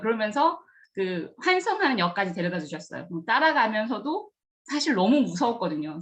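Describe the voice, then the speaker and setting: A middle-aged woman, a kindergarten director giving an earnest storytelling career talk to students. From rural Gyeongsang region of Korea. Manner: earnest, acts out remembered dialogue with lively pitch jumps.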